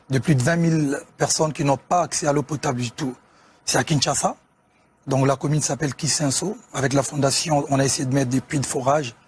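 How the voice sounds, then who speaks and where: A man from Congo, speaking as a guest musician on a TV sports show, measured and often hesitating. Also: mid-range voice, slightly rough. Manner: measured, with frequent hesitations and restarts. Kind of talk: casual TV interview talk.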